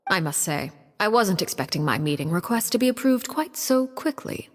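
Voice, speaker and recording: An actress playing a poised, coolly menacing aristocratic diplomat in a video game, speaking in a formal, measured manner. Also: female voice, mid-range voice, sing-song, in a large hall. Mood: cool, businesslike. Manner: formal, measured, veiled threats. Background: music.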